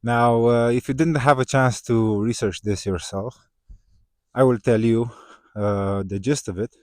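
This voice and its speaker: A man whose first language is Romanian, talking casually to his viewers with many "uh" fillers and tag questions, growing more animated in places. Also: medium pitch, a little slow, no echo, clean studio sound. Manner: conversational, many "uh" fillers and "right?" tags, rising when excited. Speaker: vlogger. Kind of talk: casual talk to camera.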